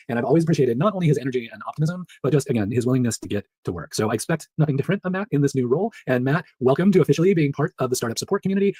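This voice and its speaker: A man hosting a solo startup news recap in a chatty, upbeat way, his voice rough, nasal and stuffed up from allergies. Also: medium pitch, no room sound. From Pacific Northwest. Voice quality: nasal, monotone. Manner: upbeat, chatty, laughs between items.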